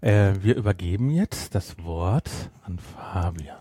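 A man's voice: sits low, expressive, takes it slowly, mellow, husky, no room sound. Middle-aged man, bantering podcast host, dry sound. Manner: bantering, interrupting. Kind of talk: casual chat.

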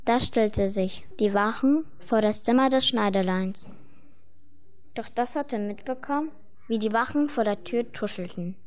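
Higher-voiced woman narrating a fairy tale. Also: a little slow, darkish tone.

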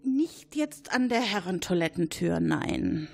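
A woman's voice: fairly low pitch, sing-song, takes it slowly, creaky, darkish tone, full character voice. A woman playing a chatty, gossipy male supervisor at a military base in an improvised audio drama, rambling and hesitant as he points at a colleague. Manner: rambling, hesitant, eager to accuse.

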